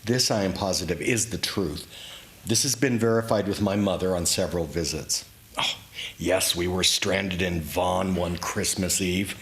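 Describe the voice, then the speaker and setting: A man giving a dramatic literary reading of his own memoir, in deliberate, measured short phrases. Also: sits low, a bit bright, a little hiss or hum. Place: room in a historic house, live event. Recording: echoey room, live reading.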